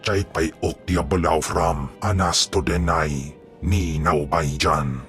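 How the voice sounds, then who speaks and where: A huge male alien monster in a video game. The voice is extremely deep and slow, grim and menacing, with faint music behind. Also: fairly even intonation. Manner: slow, ponderous.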